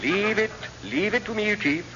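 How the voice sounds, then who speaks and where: Fussy, swooping naval padre. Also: male voice, high-pitched, animated, moderate pace, bright, forceful tone. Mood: earnest, helpful. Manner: pompous, churchy, ornate phrasing. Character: fussy, kindly naval padre, earnest and pious. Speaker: ship's chaplain and welfare officer. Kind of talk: classic radio sitcom acting. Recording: muffled, noisy old radio comedy recording.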